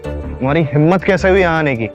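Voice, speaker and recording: A male actor plays a scornful, arrogant male office bully in an audio drama. His higher-pitched voice is mocking and condescending, dripping with taunts, over a light music bed. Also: fast, muffled recording, lightly acted.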